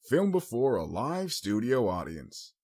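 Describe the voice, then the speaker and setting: A man voicing a playful pony who mimics television announcers in dull or mock-serious, drawn-out phrases. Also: mid-range voice, sing-song, darkish tone, slightly rough, pitched above the performer's own voice, exaggerated voice.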